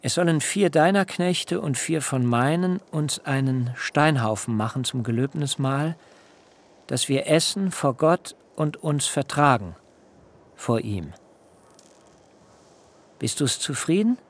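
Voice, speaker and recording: An actor playing an earnest, devout, burdened patriarch in a literary radio drama, with deliberate elevated diction. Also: male voice, on the high side, expressive, exaggerated voice. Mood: agreeable, earnest. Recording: music behind the voice.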